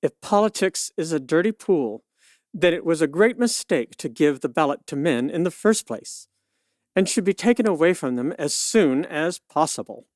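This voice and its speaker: A woman playing a firm, sharp-witted suffragist attorney of 1911, arguing with pointed retorts in a radio play. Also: deep voice, lively intonation, normal speed, no echo, clean studio sound. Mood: indignant, pointed. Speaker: debate guest. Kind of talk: pseudo-radio play, argumentative debate.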